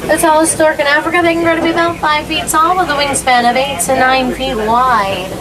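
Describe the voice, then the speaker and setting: A woman's voice: fairly even intonation, brisk, a crowd in the background. A woman with an American accent, working as a tour guide and narrating animal facts from a script on a safari ride. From United States. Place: moving open safari vehicle outdoors. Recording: very noisy, distant vehicle loudspeaker. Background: loud vehicle rumble and ambience.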